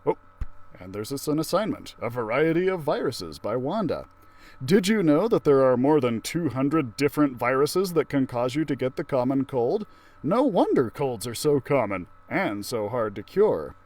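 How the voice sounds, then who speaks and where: A man reading aloud to children as a library story-time host, expressive, with casual asides. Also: mid-range voice, normal speed.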